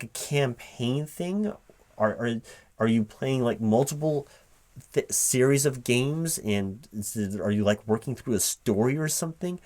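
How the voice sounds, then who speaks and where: A man acting as a baffled corporate recruiting manager in an ASMR interview roleplay. His voice is mid-pitched and slow, spoken aloud with halting repeats, leaning on words in polite disbelief. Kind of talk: one-sided ASMR roleplay, softly paced but spoken aloud, improvised.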